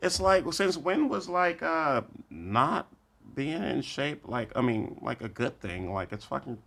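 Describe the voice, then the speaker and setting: A man's voice: mid-range voice, animated, a little slow, creaky, fairly bright tone. A young adult man, a comedian guest, chatting casually in a laid-back, slangy, rambling way.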